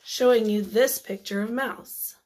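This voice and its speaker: High-voiced woman teaching young children, slow-paced. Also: a little mellow. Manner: encouraging, instructive, pausing after simple questions. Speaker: elementary school teacher. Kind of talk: read-aloud lesson for young children, asking questions and answering them.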